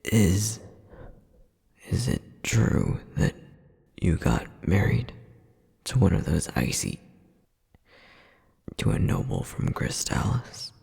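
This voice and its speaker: A man playing a tense, guarded younger demon noble in an audio roleplay, speaking haltingly in a low, breathy voice. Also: wildly swooping pitch, dark tone, exaggerated voice. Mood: hesitant.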